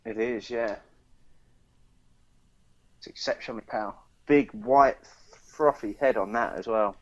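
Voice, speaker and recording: A man's voice: fairly low pitch, a little slow, dry recording. A British man co-hosting a podcast, talking in a casual, chatty style about what is in front of him. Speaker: podcast co-host reading news. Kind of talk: casual beer podcast chat.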